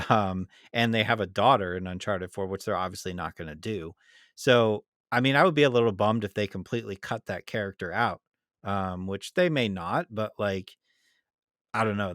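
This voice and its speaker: A man's voice: fairly low pitch, fairly bright tone, no room sound, studio quality. A man co-hosting a podcast, giving a casual review in an easygoing, plain-spoken way. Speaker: podcast co-host.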